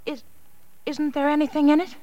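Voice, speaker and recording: A woman's voice: high-pitched, flat delivery, moderate pace, dark tone, highs cut off, natural voice. A woman acting a frightened, fragile wife in a 1940s radio drama, hesitant and repeating her words. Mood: fearful, unsettled. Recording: noisy 1940s radio recording.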